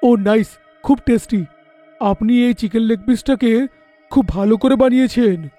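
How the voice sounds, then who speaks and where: A man playing a cheerful, curious city traveller in an animated horror story, enthusiastic and given to English exclamations. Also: very high-pitched, moderate pace, faint music behind. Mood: delighted.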